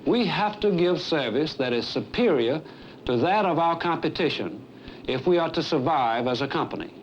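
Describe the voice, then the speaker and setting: An American man in his fifties delivers a formal staff address as a company executive. His voice is fairly high and very animated, with earnest, deliberate emphasis. It comes off a muffled 1970s film soundtrack with faint noise. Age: 50s. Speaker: airline executive presenting a staff training film.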